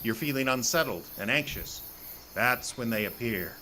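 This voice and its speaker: A man's voice: mid-range voice, lively intonation, normal speed, pushed and bright, a little hiss or hum. Man acting an ominous, paranoid woodsman. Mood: smug, ominous. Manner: foreboding, insistent. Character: paranoid, ominous woodsman convinced that creatures are coming. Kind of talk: audio horror drama acting.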